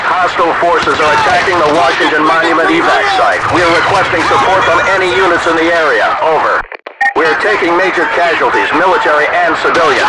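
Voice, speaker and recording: An actor playing an embattled American military radio operator at a besieged evac site, urgent and clipped, through a radio filter. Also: male voice, on the high side. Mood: controlled urgency. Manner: clipped, formal radio procedure. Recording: noisy radio chatter with battle ambience. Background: loud outdoor battle and vehicle noise.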